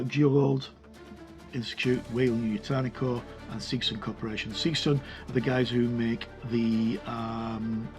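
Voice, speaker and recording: A man presenting an informal, unscripted walk-through review of a tabletop game book, with a rambling, halting delivery. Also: medium pitch. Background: background music.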